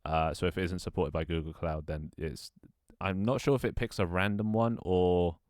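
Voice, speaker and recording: A man's voice: deep voice, fairly even intonation, moderate pace, a little mellow, dry recording, clean studio sound. A young man streaming a game, chatting casually with viewers and talking to himself as he sets things up. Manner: rambling, self-talk with frequent restarts and laughs. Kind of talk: casual live-stream chat while gaming.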